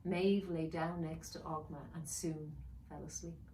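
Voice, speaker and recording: A woman's voice: sits low, little pitch movement, measured pace, warm tone. A woman speaking as a children's author, storytelling and reading aloud for young listeners. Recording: roomy, distant mic, faint noise.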